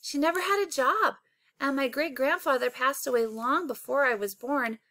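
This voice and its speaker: A woman reads a children's story like a schoolteacher, in a high, bright voice. She takes her time with the narration, and the sound is dry. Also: moderate pace, dry recording. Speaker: elementary teacher reading aloud. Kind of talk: scripted children's novel narration.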